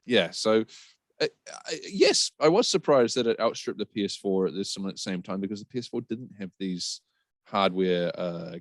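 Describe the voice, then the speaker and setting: A man's voice: lowish voice, no room sound, studio quality. A man chatting on a podcast, informal and hesitant, often correcting himself. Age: adult, middle-aged or younger. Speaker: podcast panellist. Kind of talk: casual podcast discussion.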